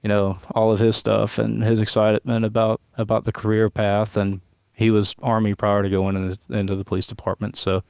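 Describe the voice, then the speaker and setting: A man from Tennessee in his late thirties speaks in a fairly low, flat-toned voice that sounds smooth and clean. He tells his story in a relaxed way, with frequent chuckles and restarts. The recording is dry and close. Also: fairly quick, hardly any pauses, no room sound. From West Tennessee, USA. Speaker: police aviation unit leader, guest. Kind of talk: casual podcast interview. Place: hangar office.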